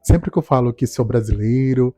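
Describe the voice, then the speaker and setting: A man, telling his life story to camera in a calm, reflective way. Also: medium pitch, no echo, faint music behind. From Salvador, Brazil. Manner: calm, reflective, measured delivery. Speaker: speech therapist and doctoral student. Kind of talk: personal testimony to camera.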